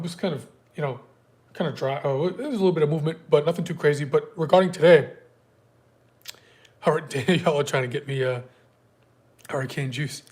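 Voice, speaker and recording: A man with a stuffy nose from a cold, hosting a live trading stream in a loose, filler-heavy way and greeting viewers by name. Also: fairly high pitch. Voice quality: slightly congested. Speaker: day trading livestream host. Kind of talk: casual live market prep walkthrough.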